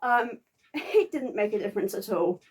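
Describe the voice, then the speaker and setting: A woman chatting casually to viewers as a vlogger, halting and sometimes stumbling over words. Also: mid-range voice, animated, slightly rough. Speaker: vlogger reviewing a theatre visit. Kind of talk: casual unscripted chat to viewers.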